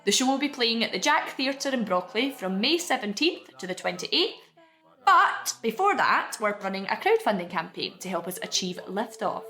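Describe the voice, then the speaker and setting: Female promo voice, upbeat scripted read, bright and lively, over music. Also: mid-range voice, normal speed. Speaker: theatre company promoter.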